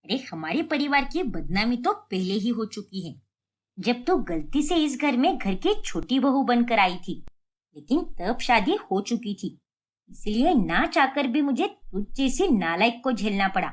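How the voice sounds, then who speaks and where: A woman voicing a sharp-tongued, bossy old village matriarch in a cartoon family drama, taunting as she talks. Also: on the high side, very animated, unhurried. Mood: scornful.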